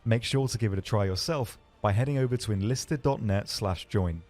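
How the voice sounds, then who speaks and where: Guy with a lowish voice explaining a game, scripted and measured, music underneath. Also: darkish tone. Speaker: game developer presenting his team's game. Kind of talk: scripted promotional game narration. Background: background music.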